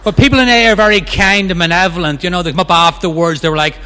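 Fast-talking Northern Irish man in a hall. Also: sits high, fairly even intonation, sharp tone. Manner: fast-talking, self-mocking humor. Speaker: main speaker at a recovery meeting. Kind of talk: personal recovery talk.

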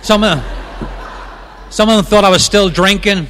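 Fast-talking Northern Irish man in a hall. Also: sits high, fairly even intonation, fairly bright tone, slight background noise. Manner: fast-talking, self-mocking humor. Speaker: main speaker at a recovery meeting. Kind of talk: personal recovery talk.

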